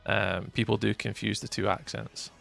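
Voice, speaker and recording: A man's voice: fairly low pitch, flat delivery, moderate pace, vocal fry, fairly bright tone, faint music behind. A Scottish man from near Edinburgh chatting casually and teasingly with viewers on a live stream, laughing often. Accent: Scottish, Edinburgh area. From Scotland. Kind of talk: casual live-stream chat while gaming.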